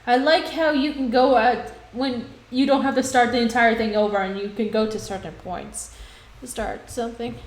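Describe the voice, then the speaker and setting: Woman streaming a game, kinda high voice, thinking out loud, roomy echo. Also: steady tone, moderate pace. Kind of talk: casual livestream game commentary. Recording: roomy sound, faint noise.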